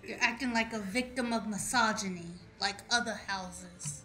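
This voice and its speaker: A woman's voice: mid-range voice, moderate pace, sharp tone, natural voice, rough recording. A woman playing a sassy, outspoken young protege with a blunt, assertive manner in an improvised comic radio play. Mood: defiant. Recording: music under the voice.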